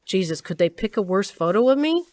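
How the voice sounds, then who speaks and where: A woman's voice: mid-range voice, big pitch swings, brisk, a little mellow, dry recording, natural voice. An actress playing a sardonic, weary older woman scientist who narrates her own story in a dry way. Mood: wry, exasperated. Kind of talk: first-person audio drama narration.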